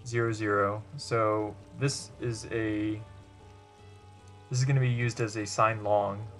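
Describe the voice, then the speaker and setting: A man's voice: lowish voice, fairly bright tone. A man narrating a tutorial over gameplay in a matter-of-fact, step-by-step way. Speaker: video presenter demonstrating a game glitch.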